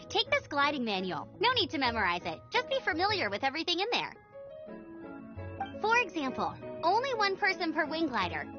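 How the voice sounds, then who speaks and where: A woman's voice: high-pitched, lo-fi sound. A woman playing a perky, bossy but friendly young female examiner in a video game, chatty, playful and emphatic. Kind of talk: animated video game voice acting.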